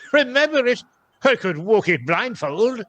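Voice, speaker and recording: An actor playing an old miserly gentleman with formal, expressive diction in a theatrical radio play. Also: very high voice, slow, a little hiss or hum, exaggerated voice. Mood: excited, joyful. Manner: formal, archaic, expressive delivery.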